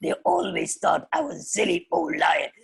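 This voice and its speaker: Woman putting on a gruff, husky old lion. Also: mid-range voice, big pitch swings, moderate pace, voice pushed up, full character voice. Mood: moved, tearful. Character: gruff, lonely old lion, easily moved to tears.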